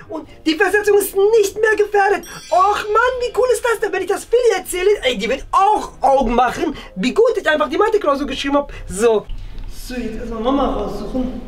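A man playing an excitable, easily embarrassed teenage schoolboy in a family comedy skit, gushing and repeating himself. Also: very high-pitched, brisk. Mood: excited. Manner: gushing, repetitive. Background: faint music.

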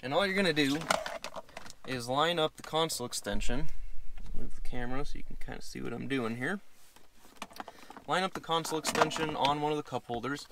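A man's voice: fairly high pitch, a little fast, pauses often. An American man in his thirties giving an easygoing, casual step-by-step how-to demonstration inside a vehicle. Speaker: product demonstrator. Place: inside a parked pickup truck cab. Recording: clear background noise, clicks and handling sounds.

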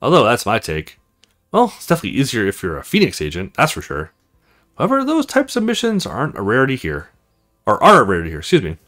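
A man's voice: mid-range voice, wildly swooping pitch, fast, a bit bright, faint music behind. A man voicing a frank, chatty young female agent in a visual novel, with a teasing, conversational manner. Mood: skeptical.

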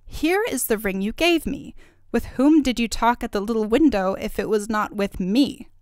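A woman voicing a haughty, quick-tempered princess in a fairy tale, indignant and scornful. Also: on the high side, darkish tone, no room sound, studio quality, natural voice. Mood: insistent.

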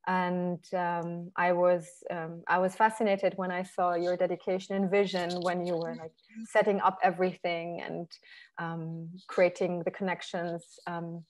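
A woman leads an artist talk in a lowish voice, filling her sentences with "um" as she hands over to the next speaker. She sounds unhurried and a little hesitant. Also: level tone. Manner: hesitant, many fillers. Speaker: moderator.

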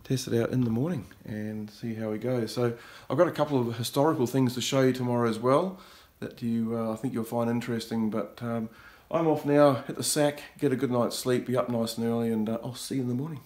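A man's voice: mid-range voice, steady tone, brisk, hardly any pauses, a little mellow. A man in his fifties with a New Zealand accent, explaining things informally and unhurriedly in a casual fishing vlog. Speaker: fishing vlogger.

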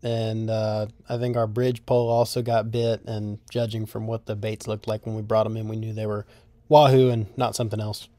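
A man narrates casually in a mellow, even voice at a middle pitch. He explains things matter-of-factly, and the recording is dry and close. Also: moderate pace, no room sound. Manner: matter-of-fact. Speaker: vlog narrator.

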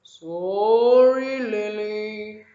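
A woman voicing a gentle, kindly cow who speaks slowly. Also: medium pitch. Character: soft-eyed mother cow, gentle and kind. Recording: dull, roomy echo.

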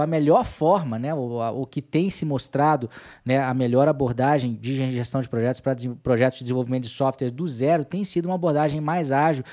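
A man's voice: a little high, darkish tone. A man in his forties with a Brazilian accent, explaining a lesson conversationally as an exam prep instructor, speaking into a close desk microphone. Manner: conversational, frequent "né" tags. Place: small home office, indoors.

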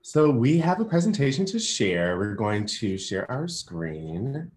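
A man from Alabama talks through his design work in a relaxed presentation over a video call. He is friendly and laughs lightly between points. His voice carries a roomy echo. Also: mid-range voice, measured pace, a little hiss or hum. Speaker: guest designer. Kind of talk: casual presentation.

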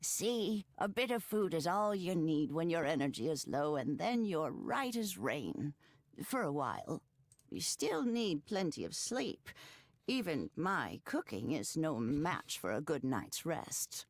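A woman's voice: low voice, dry recording, heavily acted. A folksy old grandmother from a cozy farming game. Her voice swings expressively and crackles with age, close and dry, doting and teasing. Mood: reassuring. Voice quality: creaky, aged. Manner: slow, homespun, expressive. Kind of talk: cozy video game voice acting.

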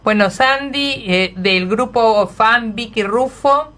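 A woman's voice: a little high, slow pace, fairly bright tone. A woman with an Argentine accent hosting an unscripted radio chat in a gushing, effusive manner. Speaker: radio host.

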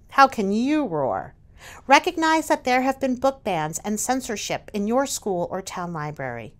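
A woman reading a children's picture book aloud as its author, expressively, with dramatic emphasis on key words. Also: fairly high pitch. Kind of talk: expressive storybook read-aloud.

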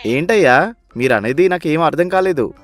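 A man's voice: fairly high pitch, animated, normal speed, fairly bright tone, soft background music. A man playing a humble, hungry beggar in a story, speaking in a pleading, deferential way. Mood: bewildered.

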